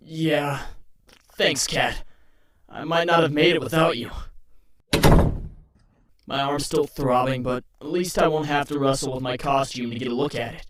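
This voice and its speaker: An anxious young superhero, played by a male voice actor, boyish and earnest. His voice sits a little high, with dramatic comic dub delivery. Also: a little slow, long pauses, a bit bright, slight background noise. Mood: weary, casual.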